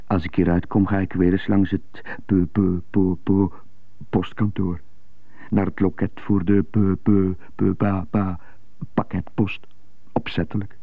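An actor in a radio drama playing a wistful, defiant jailed jazz trumpeter who stutters and broods haltingly to himself. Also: male voice, deep voice, slightly rough, no echo, heavily acted. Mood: determined practising. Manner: halting, broody inner monologue. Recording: slightly muffled, phone-like narrow band.

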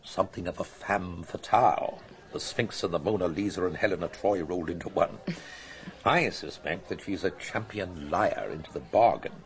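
An actor playing a genial, worldly British doctor, wry and conversational, in a radio drama. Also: male voice, sits low, moderate pace.

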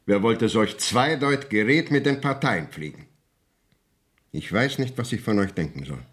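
An actor playing a stern, dignified court inspector in a classical radio play, speaking formal in a clipped, commanding and exasperated manner. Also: male voice, lowish voice, animated, normal speed, slightly rough. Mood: stern reproach.

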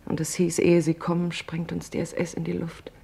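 A performer playing a weary camp survivor with a gravelly, husky voice, giving terse, bleak testimony in a radio drama. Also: medium pitch, completely flat delivery, normal speed, dark tone. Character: weary camp survivor recalling hunger and death.

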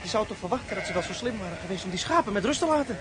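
An actor playing a gruff guard soldier who barks orders in a radio play. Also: male voice, high voice, expressive, very fast, heavy background noise. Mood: barking. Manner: barking, shouting orders. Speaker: camp guard. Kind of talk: radio drama acting.

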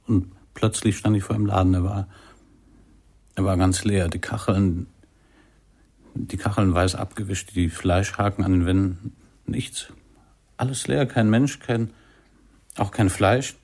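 A haunted, frozen young jazz musician in a radio drama. His voice is low, husky and very slow, halting over repeated fragments as if dazed. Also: a little mellow, heavily acted.

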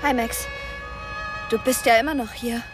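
A woman plays a chatty, breezy teenage girl in a radio drama. Her voice is very high, with soft music behind it. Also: fairly even intonation, normal speed, close to an everyday voice. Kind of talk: naturalistic radio drama dialogue.

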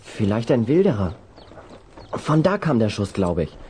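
A male actor playing a breezy, confident teenage boy rider in a children's audio drama, easygoing and brisk. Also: on the low side, big pitch swings, dark tone. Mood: alert. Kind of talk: 1970s German children's audio drama acting. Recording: noisy 1970s vinyl rip.

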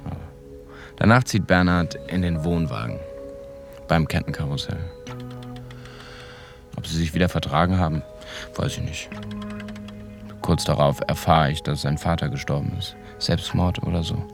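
A low, creaky-voiced man as a disillusioned small-town mechanic who has done time. He talks colloquially and haltingly, as if mulling it over, in a radio play with music underneath. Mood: wry, matter-of-fact. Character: disillusioned, slangy small-town car mechanic and ex-convict narrator. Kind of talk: first-person radio drama monologue.

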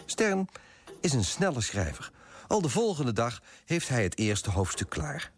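An actor playing a fussy, self-righteous coffee broker in a radio play, pompous and self-important, with a husky voice. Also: male voice, medium pitch, big pitch swings, unhurried, noisy background. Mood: smug, self-important. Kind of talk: first-person radio drama narration and dialogue.

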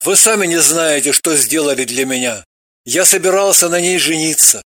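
A man's voice: a little high, expressive, moderate pace, a bit bright, no room sound. A man voicing a cold, wealthy businessman who speaks in clipped, contemptuous phrases. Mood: grateful.

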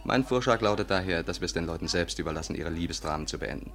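Low, brisk, sardonic gentleman. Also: lively intonation. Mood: reproving. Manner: wry, mocking, unsentimental. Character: dry, sardonic gentleman companion of a woman sleuth. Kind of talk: 1950s German radio play acting. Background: music.

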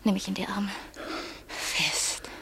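An actress in a German radio play playing a desperate, bitter young wife trapped in a loveless marriage, intense, pleading and reproachful. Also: female voice, mid-range voice, steady tone, slow, gravelly, a bit bright, whispered. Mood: yearning.

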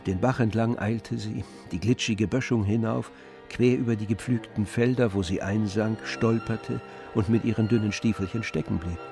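A man playing the composed, observant narrator of a literary radio drama, with measured delivery. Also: on the low side, steady tone, vocal fry, over music.

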